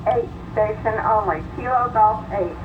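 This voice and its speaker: A woman's voice: medium pitch, a little slow, narrow, tinny audio. A woman amateur radio operator chatting casually on air, heard through a shortwave single-sideband receiver. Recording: thin, noisy, distant shortwave radio reception.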